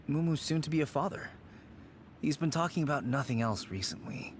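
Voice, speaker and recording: A man's voice: a little high, lively intonation, fairly quick, a little hiss or hum, lightly acted. Earnest, kindly man in a game, voiced by an actor. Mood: cheerful. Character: kindly, earnest grown man, nostalgic childhood friend. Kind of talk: video game voice acting. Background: game music.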